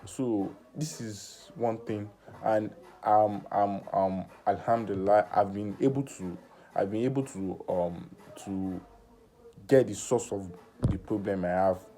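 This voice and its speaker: A nineteen-year-old man talking through a personal vlog in earnest self-talk, halting with many fillers and restarts. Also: fairly low pitch, fairly even intonation, dark tone, slight background noise. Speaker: vlogger.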